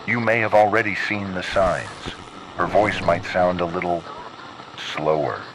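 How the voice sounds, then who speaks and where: A man playing an ominous announcer of horror warning broadcasts, speaking gravely and in a measured, instructing way. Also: a bass voice, background noise, full character voice. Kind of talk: scripted horror public-announcement narration.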